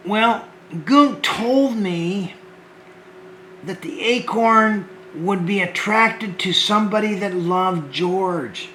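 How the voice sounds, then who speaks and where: A man hosting a homemade children's show, talking gently and hesitantly to the kids and often repeating words. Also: high-pitched, takes it slowly, echoey room, slight background noise, low-quality recording.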